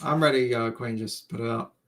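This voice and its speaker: A man's voice: mid-range voice, fairly quick, fairly bright tone, slight background noise. A man joking around on a livestream panel call, wisecracking and self-deprecating. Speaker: panel guest.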